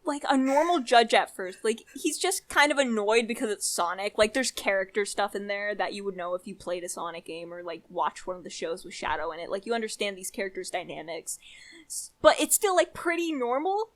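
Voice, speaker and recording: A young woman co-hosting a casual chat, retelling things in an animated way with lots of "like" and quoted reactions. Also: medium pitch, a little fast. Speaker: podcast co-host.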